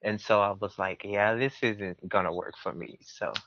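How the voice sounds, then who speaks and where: A man's voice: lowish voice, fairly even intonation, normal speed, fairly bright tone, highs cut off. A man, telling personal stories casually as an interview guest. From southern United States. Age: early 20s. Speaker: podcast guest.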